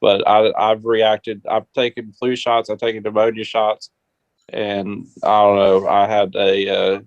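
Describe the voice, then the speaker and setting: Guy, kinda low voice, halting and unsure, chatting on a muffled dry recording. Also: little pitch movement, no room sound. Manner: hesitant, halting. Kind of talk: casual discussion. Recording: muffled, dry low-fidelity call audio.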